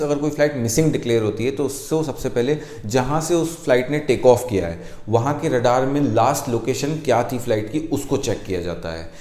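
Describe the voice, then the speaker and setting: A man's voice: mid-range voice, a little mellow. A man presenting an informal explanatory lesson, mixing English technical terms into his speech. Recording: echoey, roomy sound.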